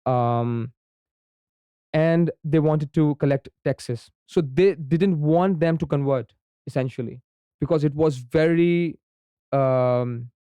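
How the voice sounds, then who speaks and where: Pakistani man explaining, mellow smooth voice. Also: on the high side, takes it slowly, no room sound. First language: Urdu. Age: young adult. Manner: frequent ums and repeated "right?" tags. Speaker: podcast guest. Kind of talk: conversational explanation.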